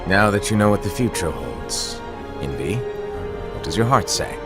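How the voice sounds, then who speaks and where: An actor playing a playful, teasing and cryptic mentor in a theatrical, breezy way for a video game cutscene. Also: male voice, low-pitched, expressive, normal speed. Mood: earnest, cryptic. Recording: music behind the voice.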